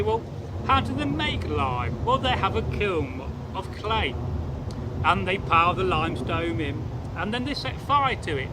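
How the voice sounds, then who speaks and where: High-voiced man storytelling over outdoor noise. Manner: deliberate, repetitive, old-fashioned storyteller phrasing. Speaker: channel host and storyteller. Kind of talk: folk tale storytelling to camera.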